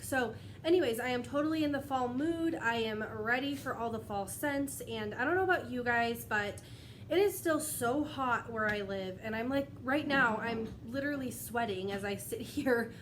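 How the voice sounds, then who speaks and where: A young woman talking to her tablet camera at home, a touch higher-pitched than most and slightly stuffy from a cold. She rambles in a chatty, friendly way, laughing often. The recording carries clear background noise. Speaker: wax melt vlogger. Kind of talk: casual chat to camera. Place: at home, indoors.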